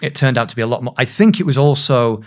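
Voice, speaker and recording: A man's voice: mid-range voice, fast talker. A man in his thirties, a voice actor, telling anecdotes in a casual, self-deprecating way as a podcast guest. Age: 30s.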